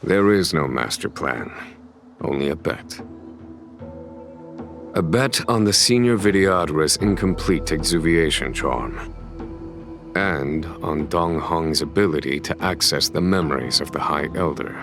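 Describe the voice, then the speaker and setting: An actor playing a grave, composed general in a video game cutscene, speaking in a solemn, deliberate and formal way. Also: male voice, extremely low pitch, a bit bright, faint music behind, full character voice. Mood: solemn, explanatory. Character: grave, composed male general, measured and commanding.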